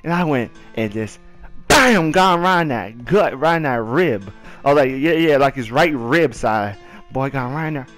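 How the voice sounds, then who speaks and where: A man's voice: mid-range voice, animated, normal speed, fairly bright tone. A young American man telling a story casually in a slangy, dramatic way, acting out moments with sound effects. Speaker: gaming video storyteller. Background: music under the voice.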